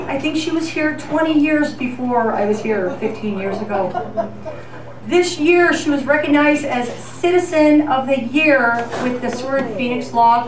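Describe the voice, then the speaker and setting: Woman w/ high voice doing a thank you speech at community event, far from mic, lots of room echo. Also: noisy background. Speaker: senior center director giving thanks. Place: large indoor room with an audience, voice from far off. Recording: echoey crowded hall, distant mic.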